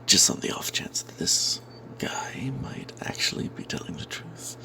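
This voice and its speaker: A man narrating a fantasy role-playing tale in first person as ASMR, in a breathy, secretive whisper, rambling wryly as if not to be overheard. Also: measured pace. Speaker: storyteller narrating a tabletop game tale.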